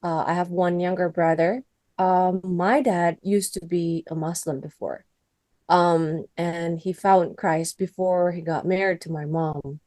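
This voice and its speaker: Indonesian lady telling her story in english, low warm voice, lots of restarts, dry sound. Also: steady tone, no echo. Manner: earnest, halting, with many restarts. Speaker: interview guest, country director. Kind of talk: personal testimony in an interview.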